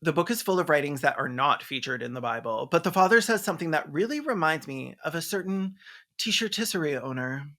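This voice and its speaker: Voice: mid-range voice, lively intonation, fairly quick, a bit bright. A video essayist giving snarky, campy, sarcastic comedic commentary on a film.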